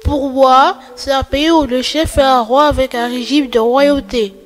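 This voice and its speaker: A woman voicing a polite, studious young schoolgirl in a children's animated film, with earnest, recited delivery. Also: high-pitched, a little slow, faint music behind.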